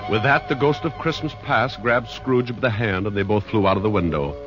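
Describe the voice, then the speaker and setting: An actor playing a wry, slangy storyteller who narrates a Christmas tale in a folksy 1940s radio manner. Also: male voice, on the low side, fairly quick, natural voice. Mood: storytelling. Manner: folksy, colloquial, animated. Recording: dull old radio recording, music behind.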